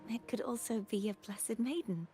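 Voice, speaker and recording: A woman voicing a composed, enigmatic young woman in a video game, speaking formally and in measured phrases. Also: a little high, dark tone, close to an everyday voice. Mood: calm, knowing. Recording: music playing over the voice.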